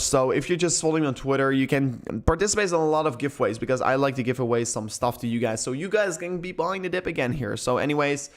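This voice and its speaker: Excitable man hosting a crypto stream. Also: medium pitch. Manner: speaks English as a learned second language; excitable, with repeated words and restarts. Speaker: crypto livestream host. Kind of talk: casual live stream chat, reading out viewer comments.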